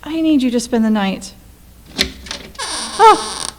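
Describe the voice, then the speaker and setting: A woman's voice: fairly high pitch, sing-song, takes it slowly, noisy background. A woman playing an anxious, pleading wife in a radio play, dramatic in manner. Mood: frightened. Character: frightened, pleading wife alone at home.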